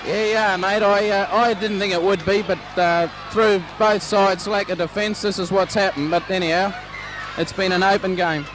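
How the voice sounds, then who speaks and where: A man's voice: high voice, fairly even intonation, normal speed, a crowd in the background. A plain-spoken man, chatting as co-commentator at a local rugby league match. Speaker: co-commentator, club member. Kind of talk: casual sports commentary chat. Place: outdoor grandstand at a suburban oval. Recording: old amateur sports recording with background noise.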